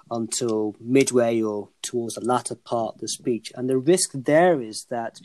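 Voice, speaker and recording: Slow, formal man with a fading voice. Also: medium pitch, a little mellow, rough recording. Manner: formal, elaborate wording with frequent restarts. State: losing his voice. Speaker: podcast co-host. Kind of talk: podcast discussion.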